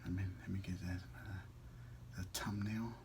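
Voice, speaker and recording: A man's voice: sits low, steady tone, moderate pace, vocal fry, a little mellow, slight background noise. A man vlogging casually over a meal, talking in an informal, slangy, teasing way. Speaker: mukbang vlogger. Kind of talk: casual chat while eating.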